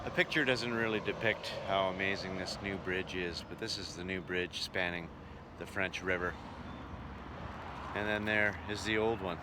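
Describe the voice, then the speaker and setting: A man's voice: low-pitched, fairly even intonation, moderate pace. A man who works as a travelling entertainer, casually narrating his trip as a vlog. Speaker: travelling musical entertainer and vlogger. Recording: noisy on-location sound, road and ambient noise.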